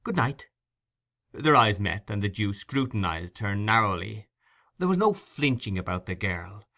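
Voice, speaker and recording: Bright-voiced male audiobook narrator. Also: fairly low pitch, animated, normal speed. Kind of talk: scripted dramatic narration of classic fiction.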